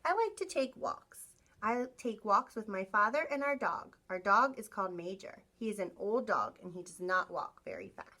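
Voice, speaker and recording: Bright, animated woman reading to children. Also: medium pitch, moderate pace. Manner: animated, acts out sound effects, asks listeners questions. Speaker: teacher reading a picture book aloud to young children. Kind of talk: expressive read-aloud storytelling.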